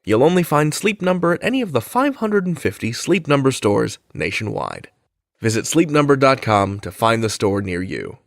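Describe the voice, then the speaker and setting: A man announces sponsor spots from a script in a medium-pitched voice with very lively intonation. The sound is close and dry, as from a studio microphone. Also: normal speed, vocal fry, dry recording. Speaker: podcast announcer reading sponsor ads. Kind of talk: scripted advertising read.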